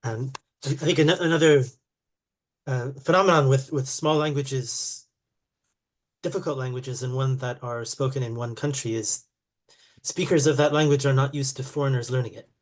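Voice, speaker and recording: A man's voice: mid-range voice, steady tone, moderate pace. Audience man, polite follow-up question, careful delivery. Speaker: audience member asking a question. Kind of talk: asking a question.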